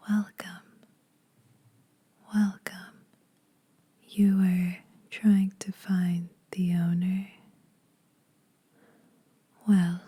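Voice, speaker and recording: A woman playing a menacing, teasing vampire huntress in a soft, breathy ASMR whisper, slow, deliberate and condescending. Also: medium pitch, heavily acted. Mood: mocking, amused.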